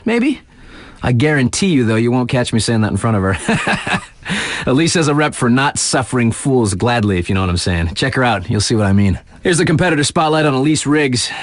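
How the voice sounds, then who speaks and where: Swaggering, animated radio DJ guy played by a man. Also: medium pitch, no room sound, close to an everyday voice. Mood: cocky, teasing. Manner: slangy, playful, swaggering delivery. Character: hip, cocky young male snowboard radio DJ. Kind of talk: hyped radio sports spotlight patter.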